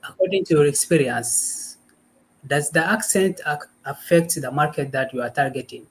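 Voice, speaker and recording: A man's voice: on the high side, moderate pace, a bit bright, slightly rough, low-quality recording. A man from Africa calling into a live stream, asking hesitant questions with many fillers.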